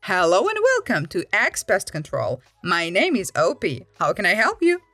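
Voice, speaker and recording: A woman's voice: mid-range voice, wildly swooping pitch, normal speed, fairly bright tone, no room sound, heavily acted. A woman voicing a matter-of-fact pest control worker who explains things to customers in a story. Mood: businesslike. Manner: explanatory, professional.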